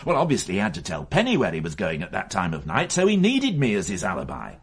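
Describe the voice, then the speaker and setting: Insistent detective, very animated voice. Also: male voice, sits low, fast talker, husky, exaggerated voice. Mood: grave, resolved. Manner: emphatic, insistent, reasoning aloud. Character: dogged male police detective, excitable, sure of his theory. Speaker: investigator. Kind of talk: radio mystery drama acting.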